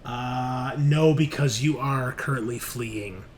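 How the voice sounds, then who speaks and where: A man's voice: medium pitch, takes it slowly, a crowd in the background. Man game master narrating a tabletop game. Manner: dramatic in narration, casual swearing. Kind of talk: running a tabletop roleplaying game, casual narration.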